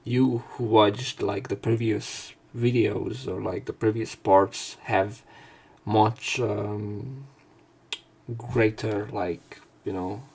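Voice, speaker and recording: A man's voice: on the low side, fairly even intonation, slow pace, gravelly, darkish tone, slightly rough. A young man hosting a let's play, casually commenting and reading narration aloud in a rambling, hesitant way.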